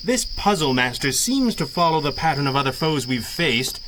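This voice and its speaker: An actor playing a wry, authoritative superhero leader in 1930s-style radio adventure, speaking in measured, dryly witty lines. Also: male voice, on the high side, very animated, background noise. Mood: wry, assured. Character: masked male superhero leader, calm, wry, commanding mastermind.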